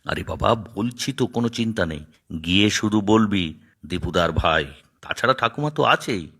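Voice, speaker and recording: A man's voice: on the low side, expressive, fairly quick, fairly bright tone. A man voicing an exasperated, bashful young groom-to-be who grumbles reluctantly in a children's cartoon.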